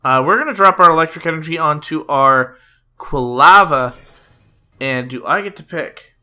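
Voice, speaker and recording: A man's voice: on the high side, lively intonation, normal speed, sharp tone. A young man giving chatty play-by-play commentary on a card game, slightly nasal from a cold, with lots of "uh" fillers. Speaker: gaming YouTuber. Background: background music.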